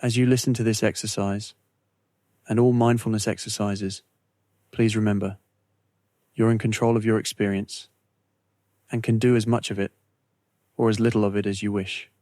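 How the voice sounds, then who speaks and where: A man narrating a scripted guided meditation in a measured, soothing way, with deliberate phrasing and pauses. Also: lowish voice, flat delivery, warm tone, no echo.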